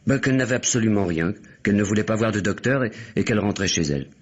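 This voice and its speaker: A muffled old radio-drama sound, with a grocer in a lowish, rough-edged voice. He is chatty and flustered, stumbling and repeating himself in everyday speech as he gives witness. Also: expressive, fast. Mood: flustered, eager. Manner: hesitant, repeating words, colloquial. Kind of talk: French radio mystery play acting. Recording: dull, muffled vintage radio recording.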